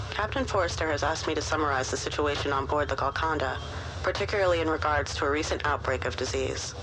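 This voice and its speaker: An actor playing a precise, scholarly ship's doctor, giving a measured and formal report in a wordy clinical manner. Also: male voice, a little high, close to an everyday voice. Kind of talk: in-game audio log, formal medical report. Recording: noisy radio-style log with ambient hum.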